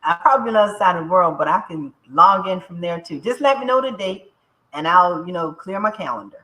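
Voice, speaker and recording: Casual woman guest, bright voice. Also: lowish voice, lively intonation, quick pace, low-quality recording. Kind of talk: live-stream talk show.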